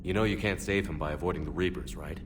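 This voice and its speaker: A man playing a steady, supportive, resolute military space commander in a video game, plain-spoken and direct. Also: deep voice, fast talker, noisy background, close to an everyday voice. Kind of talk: scripted video game voice acting. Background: music.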